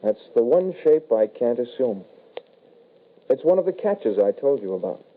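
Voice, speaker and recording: An actor playing an undead shapeshifting son, calmly menacing and apologetic, in old-time radio horror style. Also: male voice, mid-range voice, normal speed, natural voice. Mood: apologetic menace. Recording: tinny, band-limited vintage radio broadcast.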